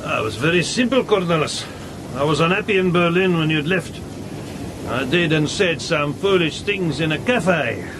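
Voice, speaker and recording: A man's voice: fairly high pitch, lively intonation, moderate pace, close to an everyday voice. A man playing a loyal, plain old hunter. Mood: earnest. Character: simple, loyal old male hunter and stoker. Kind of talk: radio drama acting. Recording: radio drama with noise behind.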